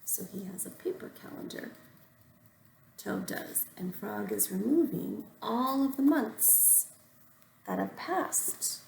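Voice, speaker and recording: Lady teacher reading a kids book, slow and lively, bit creaky, echoey room. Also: mid-range voice, a little hiss or hum. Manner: explains simply to children, with deliberate phrasing. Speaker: first-grade teacher. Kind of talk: reading a storybook aloud to young children.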